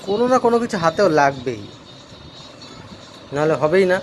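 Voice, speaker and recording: A man's voice: a little high, sing-song, brisk, soft background music. A man talking casually to viewers in a home vlog, often repeating short phrases. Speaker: family vlogger. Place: at home with a small child.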